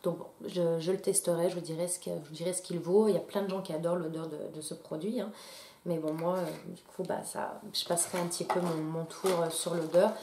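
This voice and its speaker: A woman's voice: lowish voice, steady tone, fairly quick, dark tone, slight background noise. A woman with a stuffy nose from a cold, chatting casually to her viewers with many fillers and restarts. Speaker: beauty YouTuber. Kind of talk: casual product haul talk to camera. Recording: echoey room.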